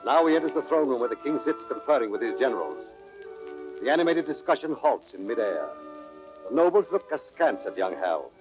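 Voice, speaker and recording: A man's voice: mid-range voice, big pitch swings, a little fast. A man narrating a radio play in a dramatic scripted 1930s style, on a dull old recording with music behind.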